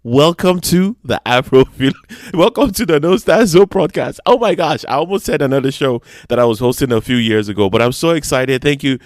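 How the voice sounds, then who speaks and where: A man's voice: medium pitch, animated, brisk, no echo, studio quality. A young Nigerian man hosting his own music podcast, talking chattily and joking about how well he does foreign accents. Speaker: podcast host. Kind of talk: solo podcast monologue.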